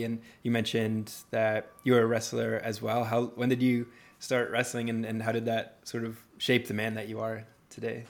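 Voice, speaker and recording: A man in his thirties hosts the conversation in a level voice with little rise and fall. He speaks hesitantly, doubling back on words as he gathers his thoughts. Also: mid-range voice. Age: 30s. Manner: hesitant, repeats words, searching for phrasing. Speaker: podcast host. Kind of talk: conversational interview.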